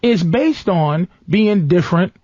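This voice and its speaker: A man's voice: sits high, animated, takes it slowly, darkish tone, no echo, highs cut off. A man hosting a talk show, debating in a blunt, profane, unscripted comedic way and cutting in often. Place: dry radio studio.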